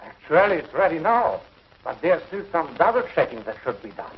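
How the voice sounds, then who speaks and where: An actor playing an anxious, cautious middle-aged scientist in a 1950s TV sci-fi serial, fretful and excitable. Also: male voice, a little high, fairly quick. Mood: hesitant, worried. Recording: dull 1950s TV soundtrack, music behind.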